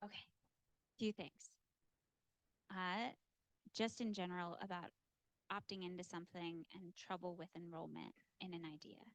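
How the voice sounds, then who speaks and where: A woman's voice: fairly low pitch, fairly even intonation, moderate pace, pauses often, dry recording, poor audio. A woman on a panel making a passionate, emphatic call to action. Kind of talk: panel discussion.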